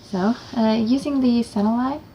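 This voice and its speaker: A woman's voice: on the high side, normal speed, warm tone, a little hiss or hum, poor audio. A woman from Norway with a Norwegian accent, chatting in a teasing, playful way. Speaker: guest maker. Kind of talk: casual chat.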